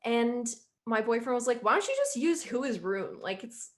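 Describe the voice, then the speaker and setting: A young woman with a slightly high voice chats in a relaxed interview. She rambles freely and keeps slipping into quoting what she said back then. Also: moderate pace. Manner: chatty, rambling, quotes herself often. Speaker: musician interviewee. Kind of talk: casual interview chat.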